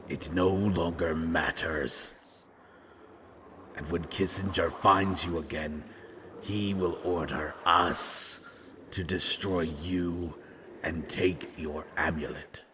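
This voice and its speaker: A man voicing a fragmented monster in an audio drama, a menacing yet regretful servant who talks haltingly and ominously. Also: deep voice, fairly even intonation, background noise. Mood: somber, ominous. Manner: slow, halting, ominous, speaks of himself as "we". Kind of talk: scripted roleplay audio drama.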